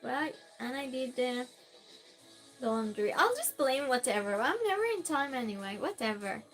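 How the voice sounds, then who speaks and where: A woman's voice: high-pitched, big pitch swings, moderate pace, fairly bright tone, soft background music. A woman with a Norwegian accent, a native Norwegian speaker, chatting casually on a live stream, reading viewer messages aloud with plenty of fillers and restarts.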